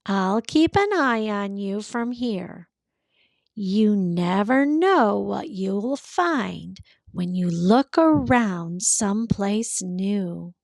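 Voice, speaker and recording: A woman voicing a kindly, steady storybook truck with a gentle, matter-of-fact manner. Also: mid-range voice, very slow, gravelly, no room sound, exaggerated voice. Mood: reassuring. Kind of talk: storybook character voice for children.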